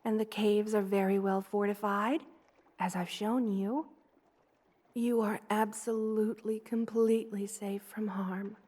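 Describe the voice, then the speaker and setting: A woman voicing a gentle, loving spider queen in an ASMR roleplay, with a tender, soothing and gently formal way of speaking. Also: medium pitch, little pitch movement, unhurried, natural voice. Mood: reassuring.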